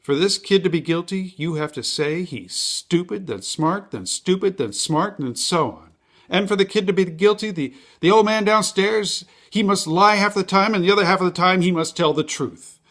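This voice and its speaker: A man's voice: sits high, normal speed, a bit bright, voice pushed up. A man playing an earnest, insistent juror in a theatrical stage monologue, arguing emphatically and rhetorically. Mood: impassioned. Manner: emphatic, rhetorical, building arguments by repeated questions.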